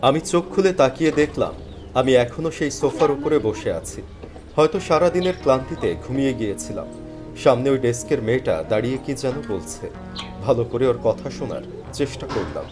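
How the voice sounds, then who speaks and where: A man's voice: medium pitch, expressive, brisk. A man giving dramatic, scripted first-person narration of a story. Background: background music.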